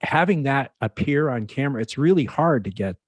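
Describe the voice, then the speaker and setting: Man, medium pitch, dry close sound, enthusiastic panelist, emphatic, casual. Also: expressive, fairly quick, no echo. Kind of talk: casual unscripted discussion. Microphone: microphone on an online video call.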